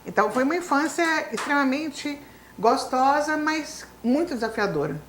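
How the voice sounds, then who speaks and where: A woman's voice: high voice, expressive, normal speed, a bit bright, slight background noise. A woman in her fifties whose native language is Spanish, telling a long story of her childhood as an interview guest, with frequent fillers like "né". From Brazil. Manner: long storytelling, lots of fillers like né and então, assim. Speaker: retired professor, interview guest. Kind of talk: reminiscing life story in an interview.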